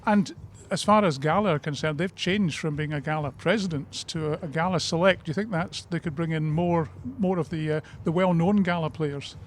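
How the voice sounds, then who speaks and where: A man's voice: on the high side, brisk, slight background noise. A man working as a sports radio interviewer, putting questions before a match.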